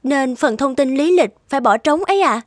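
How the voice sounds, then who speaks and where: A woman voice-acting polite, gentle young women in an animated moral tale. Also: high-pitched, moderate pace, dry recording, studio quality. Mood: deferential. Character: polite young women: gentle daughter-in-law, dutiful care worker.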